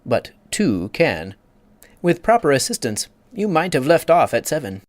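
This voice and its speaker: A man's voice: fairly high pitch, big pitch swings, no room sound, pitched above the performer's own voice. A man voicing a pompous, easily offended egg creature who lectures and takes offense. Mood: lecturing. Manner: haughty, quick to take offense, lecturing.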